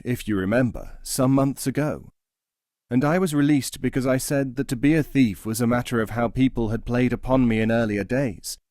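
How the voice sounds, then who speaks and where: A man's voice: mid-range voice, darkish tone, no echo, clean studio sound, close to an everyday voice. A man as a clever, courtly storybook thief. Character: deferential male thief, clever, addressing his king. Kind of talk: storybook teaching-tale character voice.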